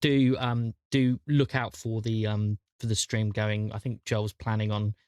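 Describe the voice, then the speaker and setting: A man chatting casually as a podcast host and game master, often repeating words as he thinks out loud. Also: on the low side, flat delivery, measured pace, clean tone, dry recording, studio quality.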